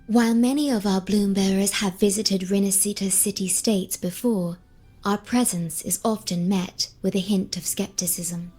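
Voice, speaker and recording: A woman voicing a composed young female advisor in a video game, with a soft, husky edge to her mid-pitched voice. She explains things formally and in measured phrases, over clearly audible music. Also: fairly even intonation, lightly acted. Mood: calm, informative. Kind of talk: scripted game dialogue.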